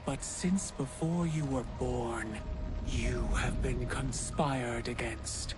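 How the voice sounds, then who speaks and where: A man playing a mysterious, scheming tempter in a video game, calm, deliberate and grandiose as he persuades. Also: mid-range voice, close to an everyday voice. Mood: grand, tempting. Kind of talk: dramatic video game acting. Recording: loud music under the voice.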